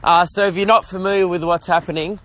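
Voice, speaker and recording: A man's voice: high-pitched, steady tone, quick pace, fairly bright tone. An Australian man hosting his podcast while walking, out of breath, chatting casually in a rambling, jokey, self-mocking way. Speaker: podcast host. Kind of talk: casual walking vlog chat. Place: outdoors, walking along suburban roads near traffic.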